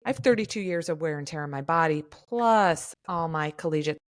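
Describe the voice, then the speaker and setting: Woman, 50s, triathlon coach: deep for a woman, measured, dry mic. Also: lively intonation, dry recording. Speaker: triathlon coach and podcast co-host. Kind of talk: casual podcast conversation.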